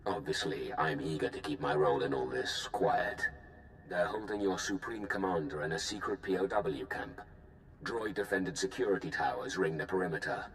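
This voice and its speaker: A ruthless Sith lord from a video game cutscene, speaking slowly and bluntly in a very deep voice. Every word sounds measured and commanding, with a roomy echo and faint hiss behind it. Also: male voice. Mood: cold, calculating. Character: ruthless scheming Sith lord, calm and cold.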